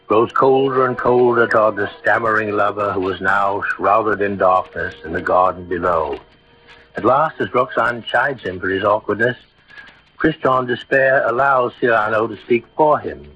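A man narrating a scripted radio drama in a measured, dramatic mid-century storytelling style. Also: fairly low pitch, pushed and bright. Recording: dull old radio recording.

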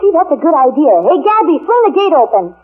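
Gushing, high-voiced young cowgirl. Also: sing-song, fast. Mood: eager, excited. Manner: eager, gushing. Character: sweet, gushing, excitable young cowgirl sidekick. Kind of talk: 1940s radio comedy acting. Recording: tinny, noisy vintage radio recording.

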